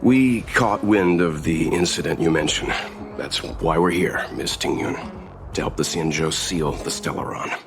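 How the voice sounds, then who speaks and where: A man playing a calm, serious middle-aged gentleman traveller in a video game, speaking in a measured, earnest and polite way. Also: low-pitched. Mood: composed. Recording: music clearly behind the voice.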